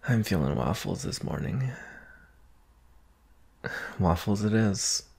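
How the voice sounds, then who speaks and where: A man acting a doting, analytical boyfriend in an intimate ASMR roleplay, gentle and musing with long pauses. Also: on the low side, lively intonation, gravelly, breathy edge, exaggerated voice. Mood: teasing concern. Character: doting boyfriend, soft-spoken, teasing, analytical former forensics student.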